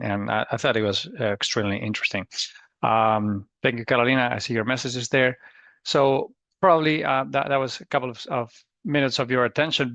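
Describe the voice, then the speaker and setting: Man, Spanish accent, webinar presenter, halting with restarts, dry close sound. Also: medium pitch, a bit bright, dry recording. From Spain. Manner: halting, many restarts and fillers. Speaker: guest presenter. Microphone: computer mic on a video call.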